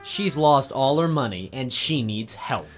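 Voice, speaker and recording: An actor playing a brash, wisecracking detective's assistant and narrator, breezy and cheeky, in a 1940s radio drama. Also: male voice, medium pitch, lively intonation, a little slow, faint music behind, close to an everyday voice. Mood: pleading, reproachful.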